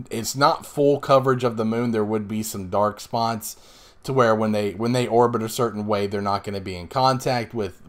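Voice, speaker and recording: Man, 30s, slightly hoarse, casual gameplay commentary, rambling, self-deprecating, desk mic. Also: on the low side. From Northeastern US. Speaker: let's play host.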